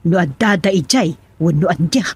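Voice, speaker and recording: A woman's voice: fairly low pitch, normal speed, darkish tone, breathy edge. A woman playing a worried mother fretting over her child in a radio drama.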